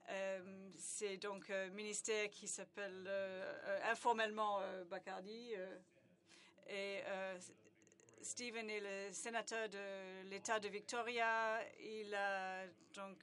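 Halting woman conference interpreter. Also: mid-range voice, a bit bright. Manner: halting, many hesitations and restarts. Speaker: simultaneous interpreter.

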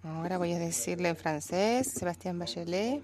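A woman's voice: lowish voice, moderate pace, crowd noise. Rioplatense woman interpreting at a meeting. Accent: Rioplatense Spanish. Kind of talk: simultaneous interpretation.

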